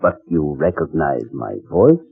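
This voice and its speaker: A man playing a coldly vengeful executed murderer in a 1940s radio play, with measured menace. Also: extremely low pitch, very animated, gravelly, breathy edge, faint music behind, full character voice. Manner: slow-burning, menacing. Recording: dry, muffled old radio recording.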